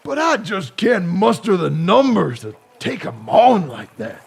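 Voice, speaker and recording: An Old West sheriff with a high, creaky voice and a drawl. He blusters and hesitates in a folksy way, swinging widely in pitch as he makes his speeches for an audio drama. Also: wildly swooping pitch, unhurried, full character voice. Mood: flustered, reluctant. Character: blustering, hesitant small-town western sheriff rallying a posse. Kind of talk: western audio drama acting.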